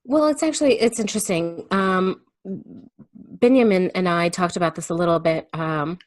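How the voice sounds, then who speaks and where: A woman guest explaining herself in casual conversation, thoughtful and halting, sometimes voicing her thoughts as quotes. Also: on the low side. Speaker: guest on a remote call.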